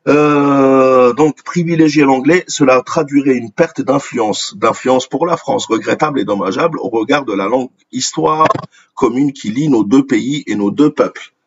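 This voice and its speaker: A man's voice: mid-range voice, moderate pace, breathy edge, lo-fi sound. A man from Algeria, a native Arabic speaker, giving indignant, opinionated news commentary and repeating phrases for emphasis. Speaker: online commentator. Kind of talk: opinionated commentary on news articles read aloud.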